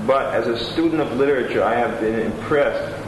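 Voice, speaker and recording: A man's voice: mid-range voice, fairly quick. A man reading letters and reviews aloud, plain and attentive, adding his own asides. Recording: roomy room with clear background noise.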